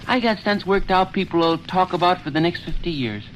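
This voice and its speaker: An eager schoolboy in a 1930s radio comedy. His voice sits a little high, and he schemes earnestly with folksy persuasion. Light noise runs under the old recording. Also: brisk, a bit bright, rough recording. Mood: eager, coaxing. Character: eager schoolboy son scheming to host a party.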